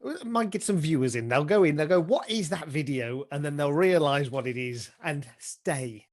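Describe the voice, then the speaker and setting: A man's voice: fairly high pitch, lively intonation, normal speed, dry recording, clean studio sound. A man chatting and explaining as an interview guest, with a jokey, talkative manner. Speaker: interview guest, pantomime dame and director. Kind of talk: casual explanatory interview talk.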